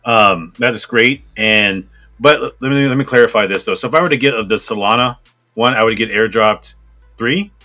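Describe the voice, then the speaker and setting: A man hosting a podcast interview over a video call, laid-back and monotone. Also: on the low side, normal speed, faint music behind. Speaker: podcast host. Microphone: headset on video call.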